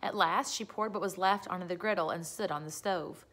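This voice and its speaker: A woman's voice: fairly low pitch, a little fast, a bit bright. A woman reading a children's book aloud as a storyteller, narrating the story.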